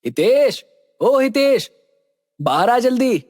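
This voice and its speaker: Animated bickering young man, acted by a man, reverberant. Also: very high-pitched, slow pace, full character voice. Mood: calling, casual. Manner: exclamatory, casual banter. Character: young Indian men, bickering excitable friends. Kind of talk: horror storytelling character voices.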